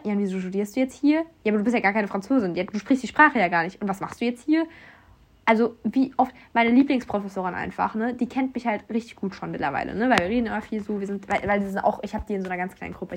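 A young woman from Germany, a university student abroad, casually telling anecdotes in a chatty way and acting out what other people said. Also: medium pitch. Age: young adult. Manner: chatty, animated quoting of others, frequent fillers. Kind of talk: casual storytelling.

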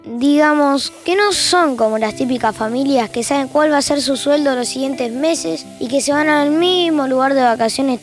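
A woman with an Argentine accent reading scripted, wry narration for an audio fiction. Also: sits high.

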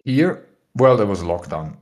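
A man's voice: lowish voice, very animated, moderate pace, a little mellow. A young adult man from Austria, talking frankly as a guest on a podcast and often searching for his words. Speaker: guest musician. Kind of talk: casual podcast interview.

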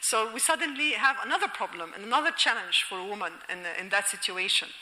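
A bright, sharp-toned woman from Egypt gives a university lecture, thinking aloud with frequent fillers and restarts. Her voice carries a long reverb, as if from a hall. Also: mid-range voice, animated, fast talker. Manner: thoughtful, many fillers and restarts, laughing asides. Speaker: lecturer and novelist.

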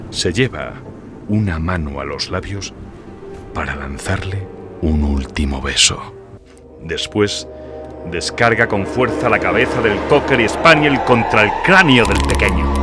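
A man narrating a horror audiobook in a dramatic, scripted storytelling style. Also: low-pitched. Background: music.

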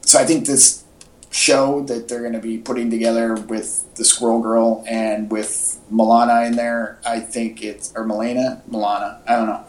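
Man, mid-pitched voice, casual podcast co-host, halting informal delivery, roomy echoey recording. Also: flat delivery. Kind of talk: casual chat about comics and movies.

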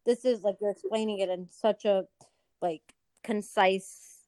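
A woman hosts a relaxed, chatty interview with an effusive, informal manner, circling through her thoughts with plenty of filler words. Her voice sits at a middle pitch and sounds very dry. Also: unhurried, dry recording. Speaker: interview host. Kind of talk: casual chat interview.

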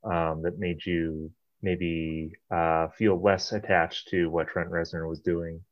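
A man interviewing a guest in a conversational way, phrasing his questions in a measured, tentative manner. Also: low-pitched, little pitch movement, lo-fi sound.

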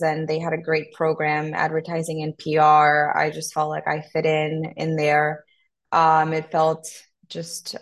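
Low, even-toned Honduran woman. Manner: earnest, steady, some fillers. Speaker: podcast guest. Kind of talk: conversational interview storytelling.

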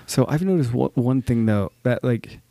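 Man, early thirties, mellow dark tone, dry close sound, earnest filler-heavy chat. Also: medium pitch, animated, moderate pace, gravelly, no room sound. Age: 30s. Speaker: painter guest. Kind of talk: casual chat.

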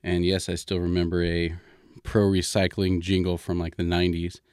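A man hosting a story podcast, improvising in a casual, informal and halting way as he thinks aloud. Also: low-pitched, completely flat delivery, a little mellow, smooth, dry recording, clean studio sound.

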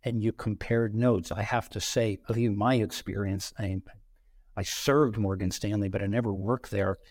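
A middle-aged man, a podcast guest, telling career stories in an animated way and acting out quoted dialogue. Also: lowish voice, moderate pace, dry recording. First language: bilingual, Brazilian parents. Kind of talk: conversational interview storytelling.

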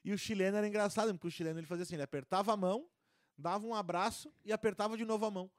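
Podcast guest, man, rambling anecdotes, slightly high voice, dry sound. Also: steady tone, rapid-fire, no room sound. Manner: rambling storyteller with many restarts and fillers. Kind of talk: casual chat.

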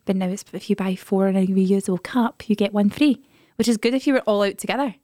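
A woman with a slightly raspy voice chats on a podcast, moving through stories and asides. Her voice sits at a middling pitch and is recorded close and dry. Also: fairly even intonation, mellow, dry recording. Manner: chatty, storytelling, quick asides. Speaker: podcast co-host. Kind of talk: casual chat. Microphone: studio microphone.

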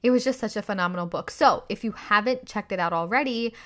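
A young woman hosting her own podcast, chatting enthusiastically about a book with a slightly raspy voice from screaming. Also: medium pitch, fast talker, fairly bright tone. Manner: enthusiastic, chatty, lots of fillers. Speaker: podcast host. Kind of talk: casual solo podcast book review.

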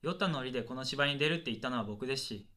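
A man's voice: mid-range voice, very fast, bright, forceful tone, natural voice. A man acting as an earnest stage actor in a comic radio drama, polite but bluntly honest with his director. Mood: exasperated, frank. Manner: polite, matter-of-fact junior talking back.

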